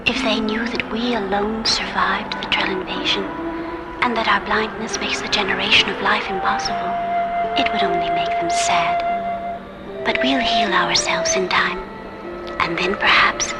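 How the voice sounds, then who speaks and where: An actress playing a calm, formal guardian of an alien city in a radio drama, speaking precisely and with composure. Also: female voice, mid-range voice, moderate pace, lightly acted. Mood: gentle, solemn. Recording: loud music behind, vintage radio drama.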